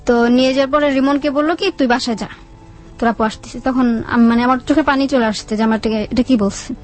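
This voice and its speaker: A woman with a Bangladeshi accent tells a personal story in a radio interview, haltingly, repeating words and quoting what people said. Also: a little high, steady tone, low-quality recording. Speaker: guest telling own life story. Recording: music under the voice. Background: background music.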